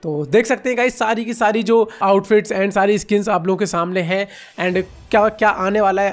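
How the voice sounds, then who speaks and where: A man with an Indian accent giving casual, informal reaction commentary as a gaming video host, chatting to viewers like friends. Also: sits high.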